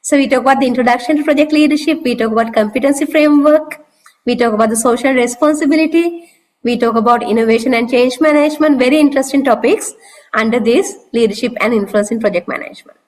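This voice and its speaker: A woman course instructor giving a relaxed, conversational overview of a course on a video call, with frequent casual fillers. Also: sits high, fast talker, rough recording. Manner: conversational, loose with fillers like "you know, like". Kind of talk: online course orientation talk.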